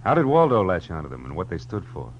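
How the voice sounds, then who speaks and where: A hissy, muffled vintage radio drama carries a sardonic, hardboiled private eye. His voice is very low, and he speaks in clipped, world-weary noir phrases. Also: wildly swooping pitch, fast talker. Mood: terse, grim. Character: wry, hardboiled male private detective. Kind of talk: 1940s radio noir acting with first-person narration. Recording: dull, hissy old radio recording with hum.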